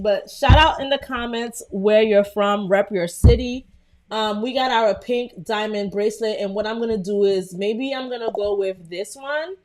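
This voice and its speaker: A young woman with a Brooklyn accent hosting a casual live design tutorial, chatty and full of fillers as she greets viewers. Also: a little high. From Brooklyn, New York. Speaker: livestream host.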